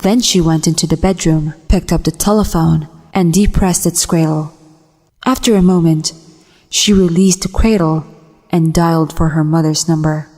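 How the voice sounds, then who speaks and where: Woman narrator for horror fiction: low, dark voice, suspenseful delivery, music underneath. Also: steady tone, moderate pace. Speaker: voice actor. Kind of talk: dramatic short story reading, horror. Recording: long reverb, music underneath.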